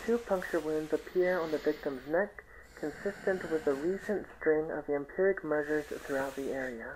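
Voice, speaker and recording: A woman's voice: deep voice, darkish tone. A woman acting a serious television news reporter, reading formally in an audio drama through a TV speaker filter. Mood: grave, formal. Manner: formal, measured newsreader delivery. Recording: noisy, crackly television broadcast sound.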